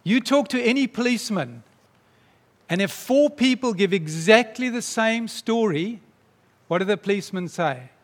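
High-voiced pastor in a reverberant church. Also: male voice, expressive, moderate pace. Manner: earnest, emphatic preaching. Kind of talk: sermon. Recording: long reverb of a church hall.

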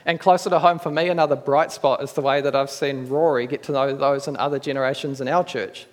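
A man with a New Zealand accent preaching a sermon in an earnest, measured, conversational way, with small restarts. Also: mid-range voice, steady tone. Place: church hall. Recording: echoey church hall.

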